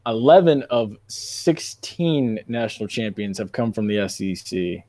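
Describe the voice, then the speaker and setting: A man hosting a sports podcast in casual, animated debate, making his points emphatically and repeating phrases for effect. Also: fairly low pitch, unhurried, no room sound.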